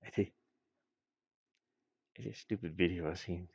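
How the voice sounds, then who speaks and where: A man's voice: low-pitched, unhurried, gravelly, husky, no room sound, dull audio. A man thinking aloud in casual, rambling chat as he works on game art, muttering and making playful asides. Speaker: indie game designer streaming.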